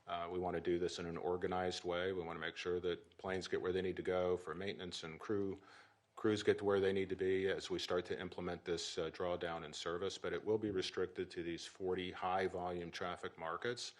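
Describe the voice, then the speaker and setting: A middle-aged man speaking as an aviation official at a press briefing, measured and formal with frequent restarts. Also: low voice, level tone.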